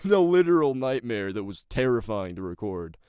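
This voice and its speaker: A man with a gravelly voice, an amateur voice actor chatting casually in loose, slangy talk. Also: medium pitch, very animated, normal speed, a little mellow, no room sound, studio quality. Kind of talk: casual chat.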